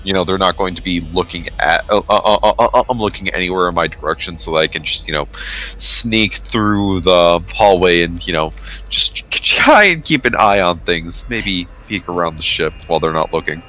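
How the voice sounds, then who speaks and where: A man casually describing his moves as a tabletop game player, in a halting, rambling way. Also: sits low, bright, forceful tone, low-quality recording. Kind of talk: casual tabletop role-play chat.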